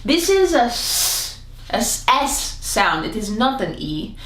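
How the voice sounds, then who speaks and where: A woman teaching a comedic language lesson to camera, playful and theatrical, her voice jumping up in pitch now and then. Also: sing-song, slow pace, a bit bright. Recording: echoey room.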